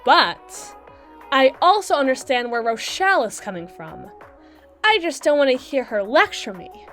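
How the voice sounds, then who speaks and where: A woman playing a brisk, sassy mermaid public attorney in a scripted ASMR roleplay, with a theatrical, sardonic, wordy delivery. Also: high voice, fairly bright tone, full character voice. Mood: frank. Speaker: voice actor in audio roleplay.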